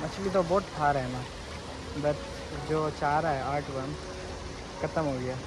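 A young man with a Northeast Indian accent talks chattily to his phone while fishing at a river, his voice pitched a little high. He laughs often and drops English words into his talk. Water and construction noise are clearly heard behind him. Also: unhurried. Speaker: vlogger. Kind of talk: casual vlog narration while fishing. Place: outdoors by a river. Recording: noisy outdoor riverside recording.

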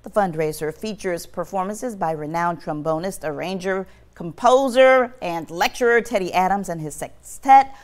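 A woman's voice: fairly low pitch, moderate pace, few pauses. A woman anchoring a local morning news show, introducing and interviewing a guest in a polished, upbeat presenter manner on a lapel mic. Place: TV news studio.

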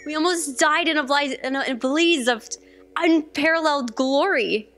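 An actress playing a tactless, morbidly cheerful computer program, flippant and dramatic, in a staged audio drama. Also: female voice, high voice, normal speed, a bit bright. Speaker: stage actor. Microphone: stand microphone on stage.

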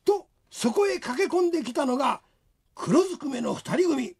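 A woman's voice: high-pitched, sing-song, normal speed, darkish tone. A woman narrating a radio drama in a dramatic, scripted storytelling style.

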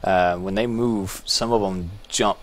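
A man casually talking through a game as he plays, relaxed and musing, thinking aloud with small restarts. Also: low voice, a little slow. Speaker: gameplay video host. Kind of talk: casual gameplay commentary.

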